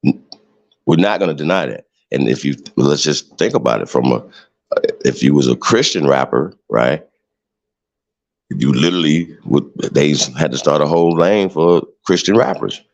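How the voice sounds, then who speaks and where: Deep gravelly man, preachy and emphatic. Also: expressive, moderate pace. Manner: emphatic, preachy, repeats himself with many "right?" tags. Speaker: show host. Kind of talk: heated livestream monologue.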